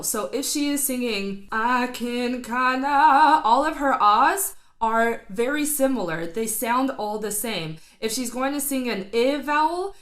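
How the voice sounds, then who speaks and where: Slightly high-voiced woman analyzing singing. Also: a bit bright. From not Filipino; studied singing. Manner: analytical, enthusiastic, thinks aloud with fillers. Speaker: vocal coach reacting to a song. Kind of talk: casual reaction commentary.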